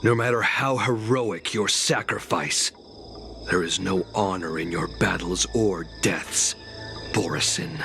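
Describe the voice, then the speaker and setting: An actor playing a bold, righteous young warrior in a video game, speaking in a stern, declamatory way. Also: male voice, low-pitched, a little slow, gravelly. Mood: stern defiance. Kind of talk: video game cutscene acting. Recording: very loud game music behind the voice. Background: game soundtrack music.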